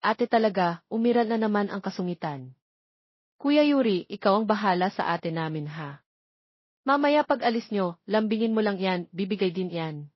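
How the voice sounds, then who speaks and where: A woman's voice: mid-range voice, expressive, moderate pace, no room sound, close to an everyday voice. A woman voicing a playful, chatty younger sister in an audio romance story, full of teasing. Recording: dull lo-fi, close dry sound.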